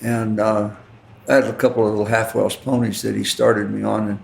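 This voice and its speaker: A man's voice: fairly low pitch, level tone, brisk, vocal fry, reverberant. A man from Colorado, a horseman and poet, telling stories casually with dry humor and rural Western turns of phrase. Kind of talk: casual storytelling.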